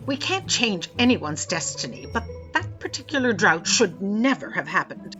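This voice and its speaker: A woman's voice: medium pitch, very animated, moderate pace, fairly bright tone, lo-fi sound, soft background music. A woman playing a bossy, pompous, dismissive office boss in a comedic audio drama. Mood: brisk, dismissive. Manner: imperious, dismissive. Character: bossy, pompous female boss of Destiny's bureau.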